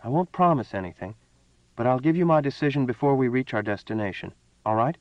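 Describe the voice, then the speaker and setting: A man voicing a composed woman who calmly turns down a plea, with a raised, altered voice. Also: medium pitch, fairly quick, no echo, lo-fi sound, voice pushed up, natural voice. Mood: earnest.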